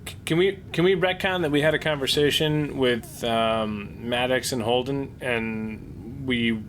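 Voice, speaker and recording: A man's voice: medium pitch, measured pace, a bit bright, slight background noise. A man talking casually as a player in a tabletop role-playing game.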